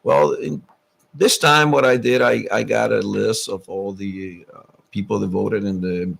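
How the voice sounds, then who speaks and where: A middle-aged man, a city council candidate, speaking earnestly in a radio talk show interview, pausing often with fillers. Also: lowish voice, steady tone, vocal fry, a bit bright. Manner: halting, many fillers, earnest. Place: radio studio.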